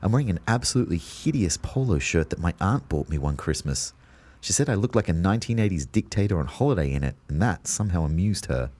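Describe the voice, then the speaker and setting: A man's voice: deep voice, expressive, fast talker, warm tone, dry recording. An Australian actor playing a confused, exasperated ghost of a man who narrates his own story with wry disbelief. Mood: wry, puzzled. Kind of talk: first-person audio drama narration.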